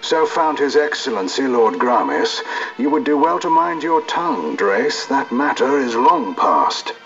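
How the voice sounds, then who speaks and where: A man playing a measured, cautioning imperial judge in a fantasy video game, speaking in formal, archaic phrases. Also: medium pitch, sing-song, fairly bright tone. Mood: stern, cautioning. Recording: muffled game audio, faint music.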